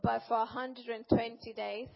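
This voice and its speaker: A woman's voice: on the high side, little pitch movement, unhurried, creaky. A woman interpreting a sermon phrase by phrase, often restarting and correcting herself. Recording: long reverb, dull sound.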